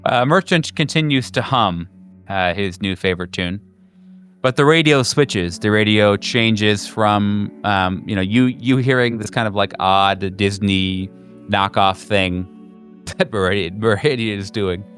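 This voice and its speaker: A man's voice: fairly low pitch, measured pace, fairly bright tone. A man narrating a horror tabletop game as game master, in a hesitant, conversational and descriptive manner. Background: background music.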